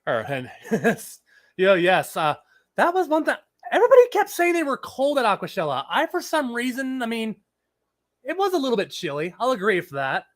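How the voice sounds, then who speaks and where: A man's voice: very high voice, huge pitch swings, normal speed. A man hosting a casual live chat stream, his voice a little scratchy from a lingering cold, rambling and reading out viewers' messages. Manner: chatty, rambling, reads chat aloud. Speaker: livestream host.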